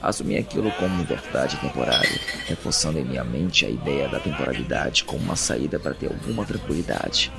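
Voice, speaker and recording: A man with a Brazilian Portuguese accent playing an anguished captive in an audio drama, narrating his imprisonment in a brooding, deliberate monologue. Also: very deep voice, animated, gravelly, full character voice. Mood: haunted, subdued. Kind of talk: dramatic first-person monologue. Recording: music bed under the voice.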